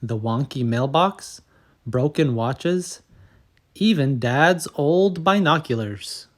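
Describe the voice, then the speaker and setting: A man reads a picture book aloud for children. His mid-pitched voice is expressive and measured, shaped for storytelling, with each phrase set out carefully. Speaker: storybook reader and channel host. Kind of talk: scripted children's story narration.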